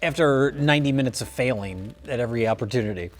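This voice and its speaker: A man's voice: mid-range voice, fast, soft background music. A man in his thirties co-hosting a casual movie chat, dropping dry, wry asides in a dim studio. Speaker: co-host. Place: dim studio set with curtains.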